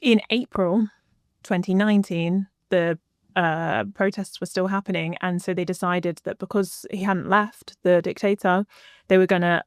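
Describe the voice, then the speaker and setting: A young woman, a guest, telling a long story in a casual interview, animated and full of "like" and "um". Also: on the low side, no room sound, studio quality. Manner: animated storyteller, many fillers like "like" and "um".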